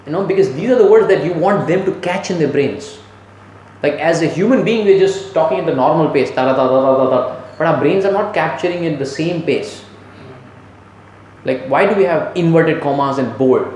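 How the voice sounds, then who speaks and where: A man coaching in sales, talking conversationally and instructively, stressing key words with deliberate pauses and asking rhetorical questions. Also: fairly high pitch, animated, a little mellow. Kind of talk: training talk on voice modulation. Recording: very echoey room with faint noise.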